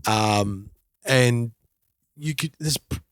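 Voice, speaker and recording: A man in his forties chatting casually as a podcast host, in a halting way that repeats words as he thinks. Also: medium pitch, no echo, studio quality. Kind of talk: casual chat about music and culture.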